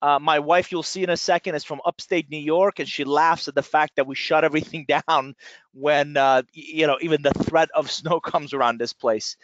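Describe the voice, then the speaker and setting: A man's voice: a little high, normal speed, no room sound. Chatty middle-aged man from Iran, webinar audio. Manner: energetic, chatty with frequent fillers. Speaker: guest speaker. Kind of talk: webinar presentation. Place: his office. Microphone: computer mic on a webinar call. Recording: dry, dull lo-fi webinar audio.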